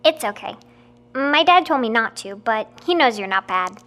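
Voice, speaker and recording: A nine-year-old girl with a high, light voice. She talks earnestly and openly, in a childlike way. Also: lively intonation, normal speed, a bit bright.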